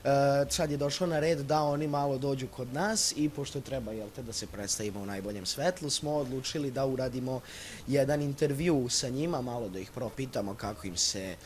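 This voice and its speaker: A man's voice: medium pitch, fast, few pauses, slight background noise. A young man with a Serbian accent hosting a radio interview in an unscripted, polite and hesitant way. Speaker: school radio host. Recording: music playing behind the voice.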